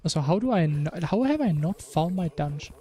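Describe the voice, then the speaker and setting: A man's voice: a little high, animated, fairly quick, warm tone, soft background music. A man streaming a video game, chatting casually and musing in a rambling way. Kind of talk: casual chat while gaming.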